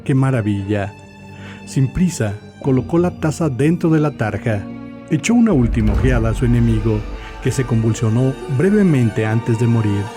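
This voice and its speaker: A man reading scripted literary narration for a dark fantasy audio story. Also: mid-range voice, animated, normal speed. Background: faint music.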